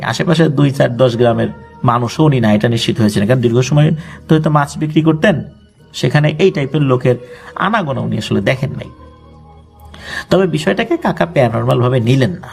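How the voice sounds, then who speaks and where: A man's voice: mid-range voice, creaky. A man with a Bangladeshi accent narrating a ghost story conversationally, with explanatory colloquial asides.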